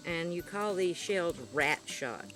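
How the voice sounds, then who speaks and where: A woman's voice: fairly low pitch, slow pace, over loud music, poor audio. A middle-aged woman talking casually and practically on a family outing, explaining things as she goes. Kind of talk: casual talk on a home video. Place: outdoors by a creek.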